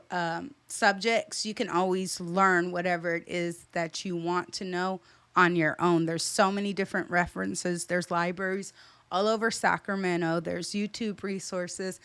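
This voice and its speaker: A young woman from California with a smooth, clear voice talks in a direct, emphatic way and hammers her points home. The sound is dry and close, with no room around her. She is a stylist who is being interviewed. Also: on the low side, a bit bright, no echo. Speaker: stylist and boutique owner, guest. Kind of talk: interview answers, advice.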